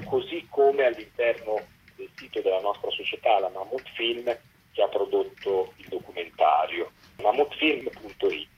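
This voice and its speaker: Husky man on a dull line. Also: on the low side. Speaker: documentary co-director being interviewed. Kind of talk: radio interview answers.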